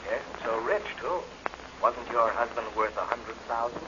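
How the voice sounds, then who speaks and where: An actor playing a smooth-talking, calculating secret lover in a 1940s radio crime drama. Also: male voice, medium pitch, normal speed, natural voice. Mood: sweet, calculating. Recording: noisy, muffled old radio recording.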